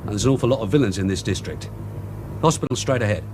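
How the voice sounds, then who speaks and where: Actor as a lively English police sergeant. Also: male voice, fairly low pitch, fast, background noise, lightly acted. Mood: brisk, instructive. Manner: wry, matter-of-fact, instructive. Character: seasoned streetwise male police detective sergeant, brisk and bossy. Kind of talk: British radio drama acting.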